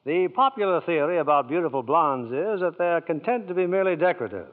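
A man's voice: fairly high pitch, expressive, fast talker. A man hosting a 1940s radio show, with scripted announcing and interviewing. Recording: tinny old radio broadcast.

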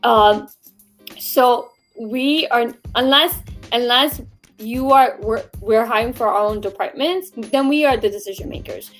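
High-voiced woman giving blunt advice. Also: measured pace, fairly bright tone, soft background music. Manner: blunt, emphatic, conversational. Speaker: recruiter and show co-host. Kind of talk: livestream career-advice discussion.

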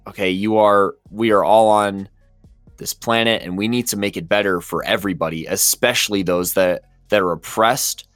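A hoarse man with a very deep voice co-hosts a podcast. He speaks with passion and tries to persuade his listeners. The recording is dry, with faint music underneath. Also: steady tone, normal speed, fairly bright tone, no room sound. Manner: impassioned, persuasive. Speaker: podcast co-host. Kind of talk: casual opinion talk.

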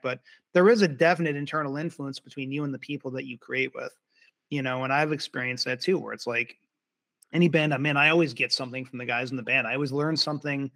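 A man's voice: mid-range voice, a bit bright, dry recording. A man hosting a podcast interview, chatting casually and affirming his guest with upbeat remarks. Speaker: podcast host.

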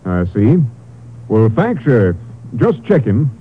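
A husky American Ranger captain, steady, patient and skeptical. He speaks with calm authority and lively inflection in an old radio drama with thin, narrow-band sound. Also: medium pitch, slow pace, full character voice. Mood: businesslike. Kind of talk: 1950s radio drama acting.

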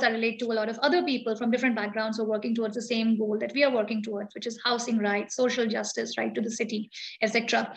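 A woman, speaking as an interview guest, pausing often with ums and uhs as she thinks aloud. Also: fairly high pitch, fairly bright tone. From India. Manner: thoughtful, hesitant, many ums and uhs. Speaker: guest, architect and founder of a design collective. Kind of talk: reflective interview answer.

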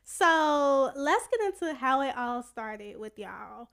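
Twenties woman, podcast host, high pitch, casual and curious interviewer. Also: sing-song, takes it slowly, gravelly, fairly bright tone. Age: 20s. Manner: chatty, asks follow-up questions, uses y'all.

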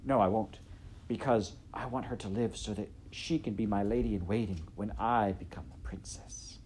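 A man voicing a loud, dim-witted, eager serving girl from a storybook, with uneducated rustic grammar and blurted exclamations. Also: on the low side, moderate pace, slight background noise, close to an everyday voice, rough recording. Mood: eager, plotting. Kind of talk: storybook character voice in audiobook narration.

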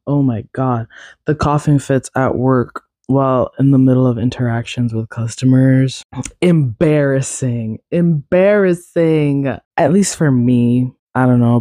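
Raspy, congested young woman chatting. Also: extremely low pitch, warm tone, no room sound. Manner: rambling, self-deprecating, many fillers and laughs. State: congested, recovering from a cold. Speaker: podcast host. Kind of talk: casual solo chat.

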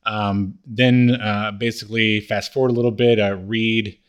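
A man co-hosting a podcast, casually recapping a TV comedy episode with halting delivery and acted-out quotes. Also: lowish voice, flat delivery, clear, resonant tone. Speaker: podcast co-host.

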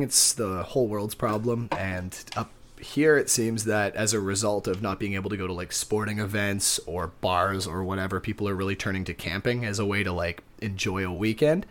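A man co-hosting a podcast, telling stories in a casual, rambling, wry and self-deprecating way. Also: fairly low pitch. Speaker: podcast co-host. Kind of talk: casual conversational storytelling.

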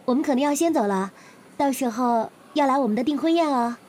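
An actress playing a cheery, polite young fiancée in a modern radio drama, sweet and sociable. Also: female voice, sits high, a little fast, darkish tone, slight background noise, natural voice. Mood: bright, friendly.

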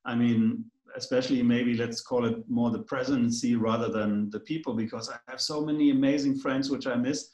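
A man's voice: mid-range voice, steady tone, brisk, few pauses, darkish tone. German-accented man, hesitant, in an echoey room. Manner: rambling, hesitant, many fillers. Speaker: guest. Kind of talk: casual conversation.

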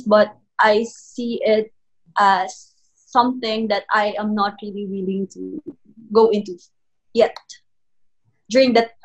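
Woman, twenties, thoughtful, halting, slightly high voice. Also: rough recording. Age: 20s. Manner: hesitant, many "um" pauses. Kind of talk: casual chat.

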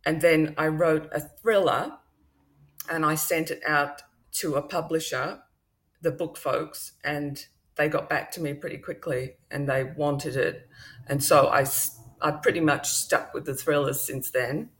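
A woman in her forties with a Welsh-Australian accent, a novelist telling her story in a relaxed, chatty way over a video call. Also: low-pitched, unhurried. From Wales. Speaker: novelist guest. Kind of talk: remote interview.

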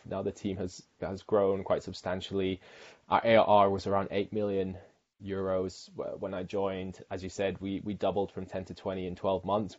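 A man's voice: deep voice, a little mellow, muffled recording. A man from the UK, a marketing director, talking casually as an interview guest with frequent fillers and restarts.

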